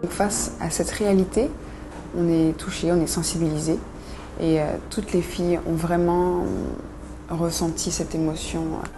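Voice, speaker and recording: Low-voiced woman over background music. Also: little pitch movement. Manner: measured, with frequent hesitations. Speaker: pageant organizer. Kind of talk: explanatory interview commentary. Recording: music bed underneath.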